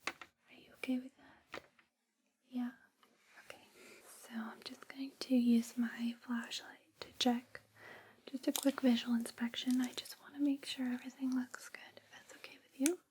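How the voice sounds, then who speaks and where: A woman whispering gently and reassuringly in an ASMR personal-attention roleplay, with a breathy, soothing delivery. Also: sits high, level tone, moderate pace, noisy background. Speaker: ASMR host playing a space suit fitting attendant.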